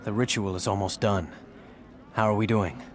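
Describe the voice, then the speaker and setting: A man's voice: on the low side, steady tone, normal speed, slight background noise, close to an everyday voice. A man playing a resolute human hero in a fantasy game, earnest and decisive. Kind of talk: dramatic fantasy game acting. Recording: music behind the voice.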